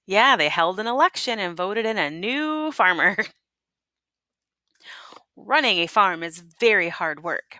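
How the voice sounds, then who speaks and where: A woman reading a children's picture book aloud in an expressive, teacherly way, pausing to prompt listeners with questions. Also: mid-range voice, no room sound, clean studio sound. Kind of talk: expressive read-aloud storytelling with asides and questions.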